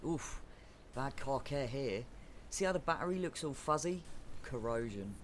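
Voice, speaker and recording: A man's voice: mid-range voice, animated, a little slow, slight background noise, close to an everyday voice. A man with a British accent playing a wry, roguish island mechanic in a comic audio drama. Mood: wry, matey. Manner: breezy, wry, matey. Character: roguish island mechanic, breezy thieving sidekick.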